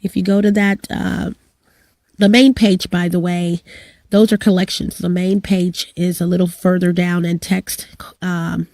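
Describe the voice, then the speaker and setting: A hoarse middle-aged woman talking casually to her channel, rambling with fillers and restarts. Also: fairly low pitch, dark tone, dry recording. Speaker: vlogger. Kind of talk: casual to-camera vlog with announcements.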